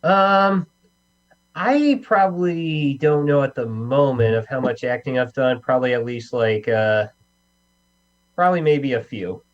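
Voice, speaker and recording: A man's voice: mid-range voice, measured pace. Hesitant male actor on a dull line. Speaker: new actor. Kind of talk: hesitant casual interview answers. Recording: dull lo-fi call with hum.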